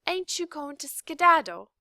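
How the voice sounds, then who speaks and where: A woman voicing a slow, dim-witted serving girl who drawls her words coarsely. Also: high-pitched, very animated, bright, forceful tone, no echo, studio quality, voice pushed up, full character voice. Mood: dull.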